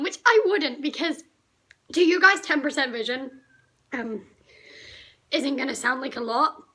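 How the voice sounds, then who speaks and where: A teenage girl from the UK, slightly croaky from a cough, telling a rambling, indignant storytime vlog. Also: high voice, bright, forceful tone. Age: teens. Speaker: vlogger.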